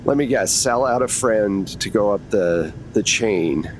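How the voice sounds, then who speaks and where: Man as matter-of-fact older female shoplifter, casual and rambling, tabletop roleplay, faint rumbly noise. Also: expressive, unhurried. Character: chatty older woman shoplifter in jail, late sixties.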